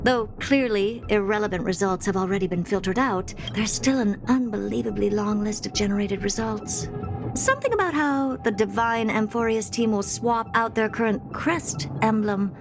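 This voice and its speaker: A woman's voice: medium pitch, moderate pace, music in the background, lightly acted. A woman playing a haughty, impatient young female genius scientist in a video game, imperious and dismissive.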